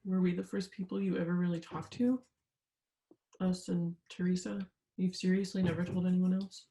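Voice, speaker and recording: A woman's voice: fairly low pitch, fairly even intonation, normal speed, warm tone, natural voice. A young woman playing an insistent, haunted student searching for the truth in a staged reading, earnest and full of pressing questions. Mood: pleading. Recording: roomy, echoing sound.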